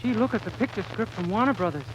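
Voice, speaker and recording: A man's voice: sits high, expressive, fast, dull audio. A man playing a naive, silly young man with an innocent, earnest manner in 1940s radio comedy. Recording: very noisy old radio recording.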